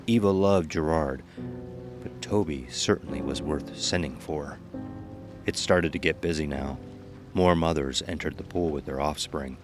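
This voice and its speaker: Very deep-voiced man narrating horror. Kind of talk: scripted horror story narration. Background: music.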